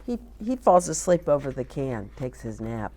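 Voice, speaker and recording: A woman's voice: a bass voice, very animated, moderate pace, darkish tone. A woman hosting children's storytime, reading a picture book aloud expressively with chatty asides to young listeners.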